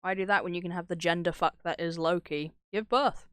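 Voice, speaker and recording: A woman with a lowish voice talks casually as a streamer, rambling through stories with plenty of swearing. Her delivery is irreverent and conversational, recorded close and dry with little room sound. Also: fairly even intonation, a little fast, fairly bright tone, clear, resonant tone, no echo. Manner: acts out dialogue when retelling stories. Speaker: streamer reacting to horror videos. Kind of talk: casual rambling chat, storytelling.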